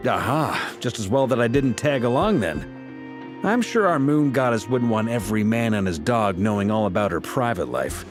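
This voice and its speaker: A man's voice: medium pitch, expressive, moderate pace, over music, close to an everyday voice. A man playing a jovial, easygoing veteran knight commander with a folksy, teasing manner in a video game. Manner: folksy, jocular. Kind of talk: scripted video game dialogue.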